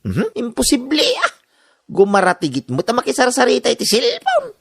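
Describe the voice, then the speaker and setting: A woman's voice: fairly high pitch, huge pitch swings, normal speed, creaky, slightly rough, heavily acted. An Ilocano-accented woman playing a gossipy, teasing busybody in a radio-style drama, with exaggerated delivery. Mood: teasing, insistent.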